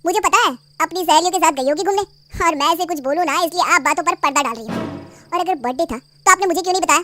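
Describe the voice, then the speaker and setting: A woman voicing a cold, scornful young husband who scolds his wife loudly in a storybook tale. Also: very high-pitched, quick pace, no room sound, faint music behind. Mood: accusing. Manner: scolding, loud and demanding.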